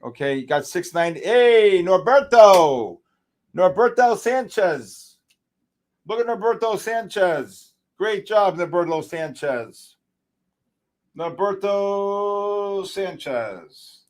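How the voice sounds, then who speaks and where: A man's voice: sits high, slow pace. A middle-aged man hosting a live trading stream, joking and hyping up his viewers. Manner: excitable, joking, hypes viewers. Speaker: livestream host.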